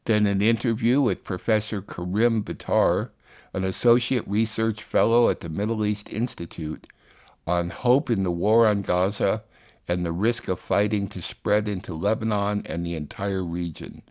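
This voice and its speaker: A man's voice: on the low side, moderate pace, a little mellow. A man hosting a radio show, reading scripted announcements between news segments.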